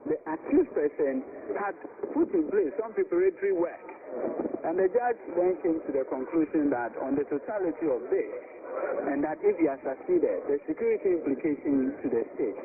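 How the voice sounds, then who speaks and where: A man's voice: fairly high pitch, sing-song, normal speed. A man speaking as a lawyer, explaining a court ruling in a formal way. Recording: tinny radio with loud noise.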